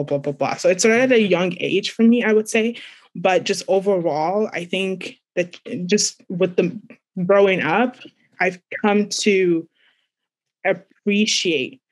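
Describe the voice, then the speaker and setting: Young woman from Nigeria, light Nigerian accent, panelist on a video call, hesitant and searching for words. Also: fairly low pitch. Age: young adult. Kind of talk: personal story on a panel.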